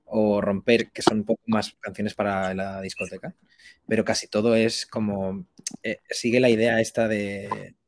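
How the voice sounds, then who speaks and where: A young man with a slightly low voice talks casually as a guest in an interview. He thinks aloud in a halting, colloquial way, often rewording his phrases as he goes. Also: background noise. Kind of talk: casual chat.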